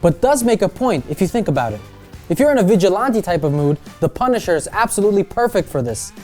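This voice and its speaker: A woman's voice: mid-range voice, very animated, fast, dark tone, faint music behind. A woman presenting a scripted countdown list with chatty, opinionated pop-culture commentary.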